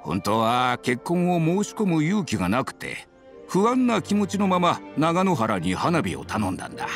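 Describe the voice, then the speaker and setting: Sentimental old village husband, lively pitch. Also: male voice, normal speed, faint music behind. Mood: fond, nostalgic. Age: older. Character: sentimental elderly village husband, married fifty years. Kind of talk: Japanese anime game voice acting.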